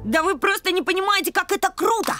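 A woman voicing a boastful, excitable sporty dog boy in an animated series, brash and slangy. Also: extremely high pitch, quick pace. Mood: defiant, boastful. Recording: music under the dialogue.

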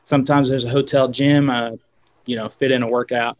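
A man's voice: medium pitch, little pitch movement, fairly quick, dull audio. Hesitant man on a video call. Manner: hesitant, many fillers and restarts. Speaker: guest guitarist. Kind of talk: casual video-call interview.

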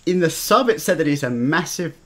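A man's voice: a little high, animated, a little fast, pushed and bright. A man in casual, opinionated gaming commentary, chatting with a co-host and swearing freely. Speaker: let's play co-host.

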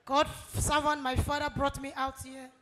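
A woman's voice: high-pitched, level tone, normal speed, a bit bright. A middle-aged woman giving a fervent testimony on a handheld mic in a large church hall. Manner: fervent, ends in sung praise. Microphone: handheld microphone.

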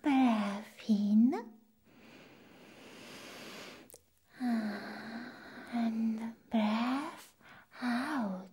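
A young woman playing an eccentric, flustered vampire nurse in a whispered ASMR roleplay, slow and halting, repeating her words. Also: fairly high pitch, mellow, a little hiss or hum. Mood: slow, soothing. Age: young adult. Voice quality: breathy, whispery. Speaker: ASMR performer. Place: small indoor room set as a clinic. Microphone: close microphone.

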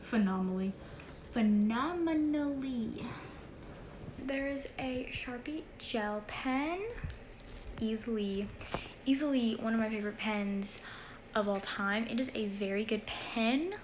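A woman's voice: on the high side, slow pace, pauses often. A young woman vlogging while she tidies her bedroom, chatty and rambling, mock-dramatic about small things. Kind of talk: casual clean-with-me vlog chatter. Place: carpeted bedroom, indoors. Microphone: phone camera set across the room. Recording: echoey bedroom, dull phone audio with steady hiss.

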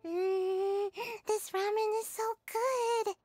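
A woman voicing a tiny, cheerful, excitable floating fairy companion in a video game, with a squeaky childlike delivery. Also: very slow, dry recording, soft background music, heavily acted. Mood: chirpy. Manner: refers to self in third person, squeaky. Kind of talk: video game cartoon voice acting.

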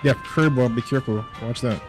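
A man with a Jamaican accent trades fast callouts with his squad in gaming voice chat, repeating warnings to be careful. Loud game music and gunfire play behind him. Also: mid-range voice. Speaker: squad teammate.

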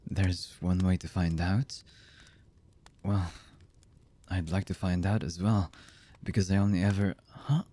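A man acts out a lonely, brooding young villain for an anime boyfriend ASMR. The voice is low and mellow, husky with breath and often near a whisper. He speaks very slowly and hesitantly, as if confiding something intimate. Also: level tone. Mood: tender, confused. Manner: slow, halting, hesitant, intimate.

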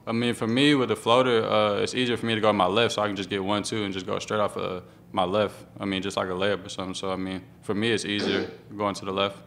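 A young man, a college basketball player, answering press questions after a game in a quick, laid-back way. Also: fairly low pitch, flat delivery, creaky, fairly bright tone. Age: young adult. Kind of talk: postgame press conference answers. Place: press room in front of a sponsor backdrop. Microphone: table microphone.

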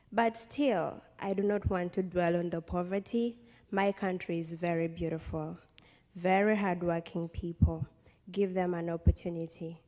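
Young ugandan lady giving a heartfelt speech at a podium, slightly low voice, lots of hall reverb. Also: dark tone. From western Uganda. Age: young adult. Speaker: guest speaker, college student. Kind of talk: personal testimony speech to an audience. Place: stage in a large hall. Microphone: podium microphone.

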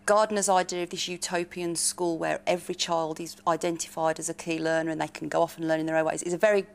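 A woman, giving measured explanations in a panel discussion. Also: sits low, level tone, gravelly, clean tone. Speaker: panellist, researcher.

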